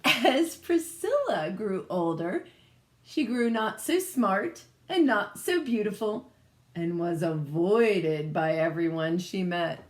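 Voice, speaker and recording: Casting: woman storyteller for picture books, lively pitch movement, slow pace, informal commentary between passages. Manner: expressive, pausing to comment on the pictures. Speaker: picture-book reader. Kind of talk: read-aloud storytelling for children with casual asides.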